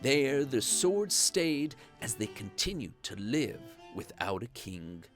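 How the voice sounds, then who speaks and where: A storyteller reading a scripted children's bedtime story in an expressive, animated way. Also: medium pitch, slow, soft background music.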